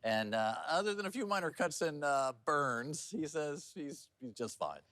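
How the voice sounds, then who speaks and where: A man's voice: on the high side, animated, normal speed, fairly bright tone, no room sound. An American man presenting an upbeat local TV news feature in a playful, folksy style.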